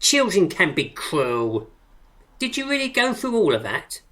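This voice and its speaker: An actress playing the brisk, pretentious leader of a poetry circle in a radio drama, grandly articulate and theatrical. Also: female voice, fairly low pitch, very animated, fairly bright tone. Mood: grandly encouraging.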